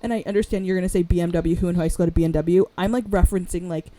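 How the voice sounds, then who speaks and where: Raspy-voiced woman chatting casually. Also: lowish voice, racing, dry recording. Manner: rambling, chatty, animated storyteller. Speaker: podcast co-host. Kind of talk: casual chat.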